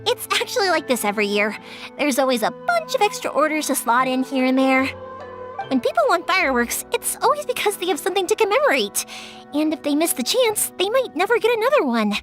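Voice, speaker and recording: A woman's voice: high-pitched, sing-song, a little fast. A woman voicing a cheerful young fireworks maker who chatters on in a bubbly, rambling way. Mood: chatty. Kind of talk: video game voice acting. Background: soft game music.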